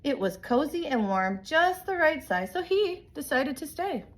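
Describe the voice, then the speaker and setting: Lively, higher-pitched woman reading a storybook. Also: unhurried. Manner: animated, emphatic storytelling for children. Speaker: teacher reading aloud to young children. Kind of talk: expressive storybook read-aloud.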